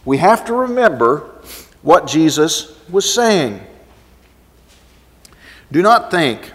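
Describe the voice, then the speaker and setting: A male preacher with a fairly high-pitched voice delivers an expository sermon. He teaches deliberately and emphatically, moving between scripture readings and rhetorical questions. His voice carries long reverb from a large hall.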